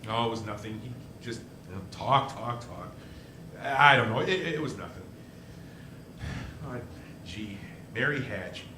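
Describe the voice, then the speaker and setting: An actor playing an earnest, flustered, hot-headed young husband in a live 1940s-style radio play, with a stammering, halting delivery. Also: male voice, lowish voice, lively intonation. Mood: dejected. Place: live stage performance. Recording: roomy stage sound, faint noise.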